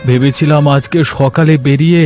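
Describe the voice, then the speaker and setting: A man's voice: fairly high pitch, fairly even intonation, moderate pace, a little mellow, soft background music, lightly acted. A man playing a humble, troubled village fish trader in a Bengali cartoon story, speaking in an earnest, plaintive way.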